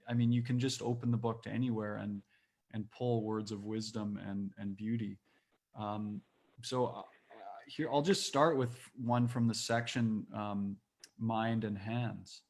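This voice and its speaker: A man working as an interviewer, speaking in measured, deliberate phrases with some hesitation. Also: on the low side, a little mellow. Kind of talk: measured interview talk and quote reading.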